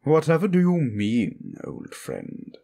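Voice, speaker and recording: Slow, swooping, smug old sorcerer. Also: male voice, a little high, huge pitch swings, gravelly, mellow, full character voice. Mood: mocking. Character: smug old powerful male sorcerer, silkily taunting. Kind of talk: fantasy audio roleplay, improvised.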